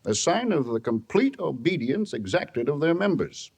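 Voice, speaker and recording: An actor playing a stern, shrewd judge with a gravelly voice, admonishing in measured old-time radio drama style. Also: male voice, fairly high pitch, very animated, a little mellow, full character voice. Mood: stern, firm.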